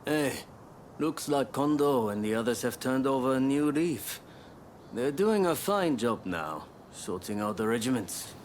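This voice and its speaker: A man's voice: mid-range voice, lively intonation, unhurried, a little hiss or hum, natural voice. A man playing a shrewd, assured samurai militia leader in a video game, with an authoritative manner. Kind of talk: video game cutscene acting.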